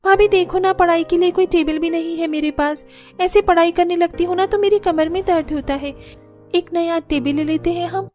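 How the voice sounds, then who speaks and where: Lady putting on a whiny young sister in law, real high pitched, pleading, cartoon, music behind. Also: fairly even intonation, moderate pace, darkish tone. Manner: whiny, complaining. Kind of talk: Hindi cartoon story dialogue.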